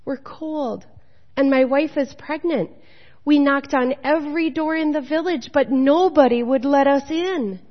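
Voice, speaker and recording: A woman's voice: high voice, measured pace. A woman voicing a timid, weary male traveler pleading for shelter in a storybook, in an echoey hall. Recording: dull, echoey hall recording.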